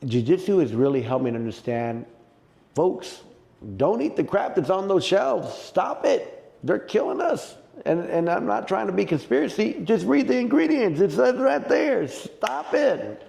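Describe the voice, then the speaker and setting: A middle-aged man with a mellow, gravelly voice, talking jiu-jitsu in a relaxed interview. He tells his stories with plenty of feeling and jumps up in pitch and volume when something excites him. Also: measured pace. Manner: animated, emotional storyteller who gets loud and high when excited. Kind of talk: casual podcast interview.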